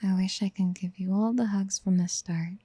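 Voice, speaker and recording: A woman playing a doting, obsessive would-be mother in a soft-spoken ASMR roleplay, breathy and often near a whisper, tenderly coaxing. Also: mid-range voice, fairly even intonation, normal speed, no room sound, lightly acted. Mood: tender, adoring. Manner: tender, coaxing, hesitant pet-name murmuring. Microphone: close microphone in a dry, quiet space.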